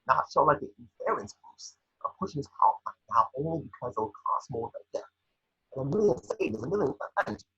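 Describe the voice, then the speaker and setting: A man's voice: mid-range voice, big pitch swings, fairly quick, bright, forceful tone, breathy edge, highs cut off, pitched above the performer's own voice, exaggerated voice. A man playing a scheming, blustering business tycoon in a pompous, pushy manner in an amateur script read. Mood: smug, irritated.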